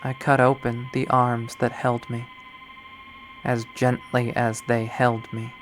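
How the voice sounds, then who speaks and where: A man's voice: mid-range voice, level tone, takes it slowly, a little hiss or hum, natural voice. A man voicing a jaded, unhinged young woman artist in a bitter, profane, rambling monologue from a visual novel. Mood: bleak, contemptuous. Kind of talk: dramatic visual novel readthrough.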